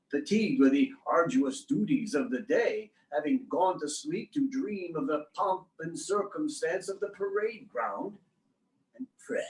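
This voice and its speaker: In an echoing room, a man performs a romantic, poetic young 19th-century husband in a dramatic letter reading. His slightly high voice rises and falls expressively through florid, lyrical phrases. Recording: roomy, echoing sound.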